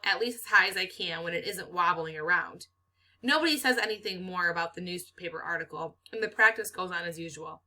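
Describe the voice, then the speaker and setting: A woman's voice: medium pitch, bright, forceful tone, poor audio. A woman reading a children's novel aloud expressively, as a teacher does for students.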